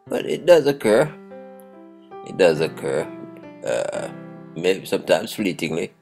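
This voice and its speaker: A man with a croaky voice giving a daily devotional, chuckling often and reading scripture aloud with emphasis. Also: sits low, big pitch swings, measured pace, darkish tone, faint music behind. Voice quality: gravelly. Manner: chuckles often between phrases. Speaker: devotional host.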